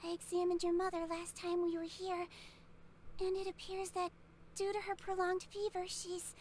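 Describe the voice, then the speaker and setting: Tiny nonhuman nurse, very high voice, composed and perceptive, soft formal manner, video game acting, faint noise behind. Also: female voice, completely flat delivery. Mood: sorrowful, gentle. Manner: measured, softly reassuring, formal. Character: small gentle Melusine head nurse, composed and perceptive.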